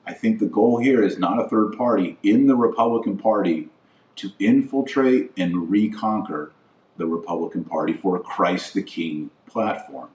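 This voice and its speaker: Man, American accent, low pitch, earnest commentary, emphatic repeated phrases, roomy and distant recording. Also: normal speed, a little mellow, dull audio. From United States. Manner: emphatic, repeats words and builds lists for emphasis. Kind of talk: earnest political-religious monologue to viewers. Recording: roomy echo, distant from the mic.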